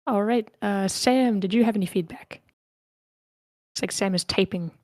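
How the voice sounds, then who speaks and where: A woman's voice: mid-range voice, moderate pace, a little mellow, no room sound, studio quality. A woman casually moderating an online script read, calling on people and reading out comments, sometimes murmuring to herself. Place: indoors on an online meeting call.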